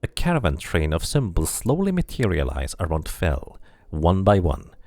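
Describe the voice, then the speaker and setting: A man's voice: low voice, huge pitch swings, normal speed, a little mellow, no room sound. A man streaming a casual playthrough, reading the game's narration aloud and chatting between passages. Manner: reads narration aloud, then chats casually and laughs.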